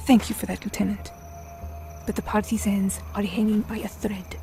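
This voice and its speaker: A woman acting as a resolute Italian partisan leader, medium pitch. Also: little pitch movement, normal speed, dark tone, soft background music, natural voice. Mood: urgent, grave. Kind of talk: video game cutscene acting.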